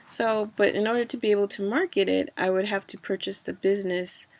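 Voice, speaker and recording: Woman, casual vlogger, mid pitch, fry in the voice, congested from a cold, loose rambling delivery. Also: steady tone, a little fast, no room sound. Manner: rambling, many fillers and restarts. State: stuffy from a cold. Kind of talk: casual personal vlog.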